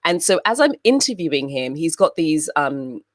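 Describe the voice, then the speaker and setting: A woman's voice: deep voice, normal speed, dry recording, studio quality. A woman who grew up in Papua New Guinea and the UK, telling stories in a casual interview with frequent laughter. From grew up in Papua New Guinea, then the UK. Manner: lively storyteller who laughs often. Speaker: interview guest, event host and moderator.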